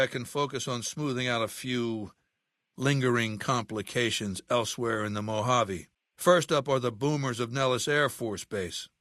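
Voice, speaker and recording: A man playing an aging, imperious warlord dictator in a video game, with a measured, commanding and menacing manner. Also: medium pitch, fairly bright tone, no echo, clean studio sound, lightly acted. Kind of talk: video game character dialogue.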